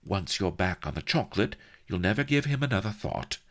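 A man's voice: deep voice, very animated, brisk, pitched above the performer's own voice, exaggerated voice. A man voicing a worldly, practical young woman attendant who talks in blunt, slangy wisecracks. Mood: wisecracking.

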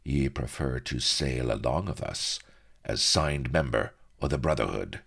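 A man voicing a grim, shrewd pirate captain with rough rustic sea-dog speech in an audiobook. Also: very deep voice, expressive, a little slow, a bit bright, exaggerated voice. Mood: grim, calculating.

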